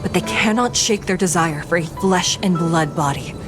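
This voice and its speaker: A woman performs an authoritative senior female judge from a fantasy video game. The voice sits fairly low, with formal, measured, steadily encouraging speech. Music is clearly heard behind it. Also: lightly acted. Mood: reassuring. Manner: formal, measured, stern yet reassuring.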